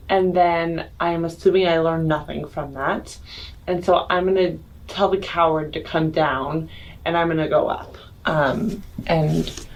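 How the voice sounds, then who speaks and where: A woman's voice: low-pitched, a little hiss or hum, rough recording. A woman talking casually as a player in a tabletop game, thinking aloud a little tentatively. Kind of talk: casual improvised game talk.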